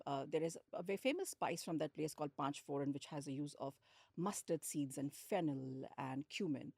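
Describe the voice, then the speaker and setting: Podcast guest: woman, Indian accent, deep register, telling personal stories conversationally, very little room sound. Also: a little mellow, no room sound, clean studio sound. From India, grew up in Lucknow and Hyderabad. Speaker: podcast guest, hospitality executive. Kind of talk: conversational interview storytelling.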